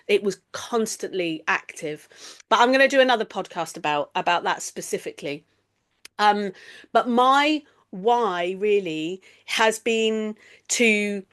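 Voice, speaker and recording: A middle-aged woman from the East Midlands with an English accent, hosting a reflective solo podcast in an earnest, deliberate way with emphatic pauses. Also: mid-range voice, fairly bright tone. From East Midlands, England. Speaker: podcast host.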